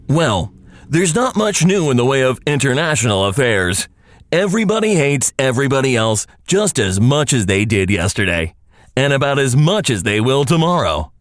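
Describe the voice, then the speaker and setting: A man's voice: mid-range voice, no echo. An actor playing a laid-back, sardonic radio newsman and disc jockey in an audio drama, with a wry, casual manner. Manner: wry, casual, dry-humored. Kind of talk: naturalistic audio drama acting.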